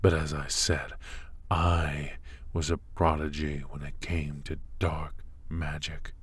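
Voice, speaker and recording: Very deep, husky dark warlord played by a man. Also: little pitch movement, exaggerated voice. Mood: cold, menacing. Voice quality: husky, breathy. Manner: slow, deliberate, silky formal taunting with dramatic pauses. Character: dark warlord sorcerer villain, commanding, mocking, coolly menacing. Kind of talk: ASMR fantasy villain roleplay.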